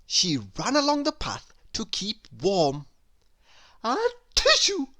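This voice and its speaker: A woman reading a children's story aloud, deliberate and expressive in her storytelling. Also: lowish voice, dry recording, studio quality. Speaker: storybook reader. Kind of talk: read-aloud narration for children.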